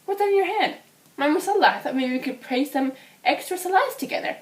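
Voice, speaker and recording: A woman voicing a gentle, modest young boy in a children's puppet show, soft-spoken and hesitant. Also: sits high, expressive, fast, recorded from a distance. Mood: hopeful.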